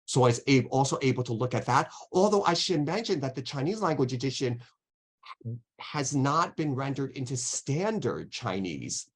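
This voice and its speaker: A man's voice: medium pitch, sing-song, normal speed. A man answering questions as a guest lecturer in an online academic talk, careful and hedging, with many restarts. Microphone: video call.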